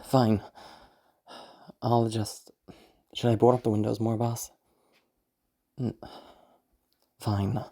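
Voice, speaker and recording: A woman voicing a gruff, gravelly kidnapper henchman in an audio roleplay. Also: extremely low pitch, little pitch movement, slow, pauses often, dark tone, heavily acted. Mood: grudging.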